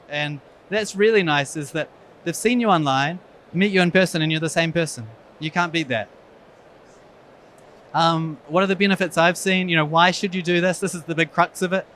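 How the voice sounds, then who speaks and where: A man's voice: a little high, fairly bright tone, a little hiss or hum. Man with a New Zealand accent. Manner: frank, self-deprecating, wry humor. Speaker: eye surgeon giving a conference talk. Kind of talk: conference presentation.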